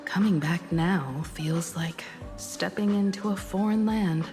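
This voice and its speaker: A woman as a slow-spoken, composed game heroine. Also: on the low side, warm tone, close to an everyday voice. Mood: wistful. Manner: measured, reflective. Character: composed, thoughtful, mature female traveller. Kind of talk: video game voice acting. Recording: music behind the voice.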